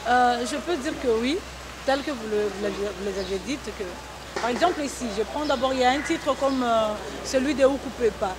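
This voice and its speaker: A woman gospel singer from the Congo-Zambia border speaks in a high voice. She answers earnestly, like giving a testimony, and often stops to restart a phrase. The broadcast recording carries a clear hiss of noise behind her. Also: a little fast. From Congo-Zambia border region. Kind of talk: interview answers on a talk show.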